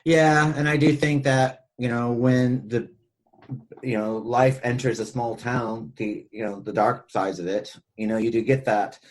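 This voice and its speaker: A man's voice: mid-range voice, steady tone, measured pace. A man co-hosting a podcast, chatting casually about films in a rambling, conversational way that circles back over his points. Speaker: podcast co-host. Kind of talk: casual film discussion chat.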